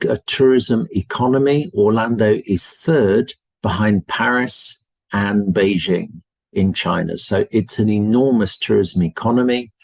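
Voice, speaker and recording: A man's voice: lowish voice, creaky, a little mellow, breathy edge, no room sound. A man with a British English accent, a university representative giving a polite, enthusiastic webinar presentation over a video call. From United Kingdom.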